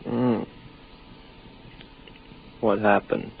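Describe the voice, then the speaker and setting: Gravelly, exhausted spaceman doubting reality. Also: on the low side, level tone, full character voice. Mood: groggy, doubting. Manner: halting, brooding, philosophical. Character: exhausted, delusional lone male space station officer, doubting reality. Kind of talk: 1950s radio drama acting. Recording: muffled, noisy old radio recording.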